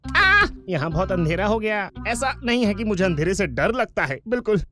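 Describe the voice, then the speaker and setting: A woman voicing a timid, sceptical young male sidekick in an animated comedy, hesitant, anxious and sarcastic. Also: medium pitch, normal speed. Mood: nervous, defensive. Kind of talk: animated comedy dub acting.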